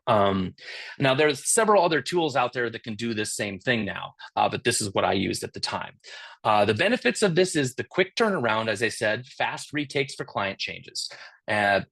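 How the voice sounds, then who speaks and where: A middle-aged man presenting a webinar as a creative director. His voice sits at a middling pitch and is getting worn, as he is losing it. He talks casually, with frequent pauses and restarts. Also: big pitch swings, creaky, fairly bright tone, husky. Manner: casual, many fillers and restarts. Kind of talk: conference talk.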